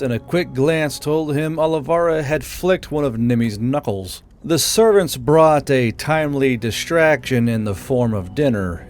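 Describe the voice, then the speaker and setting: Casting: male audiobook narrator, average pitch, scripted fantasy storytelling with faint music underneath. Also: darkish tone.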